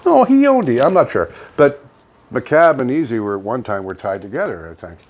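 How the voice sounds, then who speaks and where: A man's voice: mid-range voice, very animated, a little fast. An older man with a Canadian accent co-hosts a local online radio show in a folksy, rambling, casual chat style. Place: home studio.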